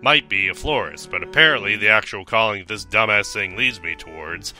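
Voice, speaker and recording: A man's voice: fairly low pitch, brisk, pushed and bright, faint music behind. A man voicing a jaded, crabby pony schoolteacher, sardonic and scolding, in a parody cartoon dub. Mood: sardonic ranting.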